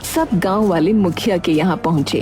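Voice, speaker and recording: A woman's voice: lowish voice, moderate pace. Woman narrating a story over music. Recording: music behind the voice.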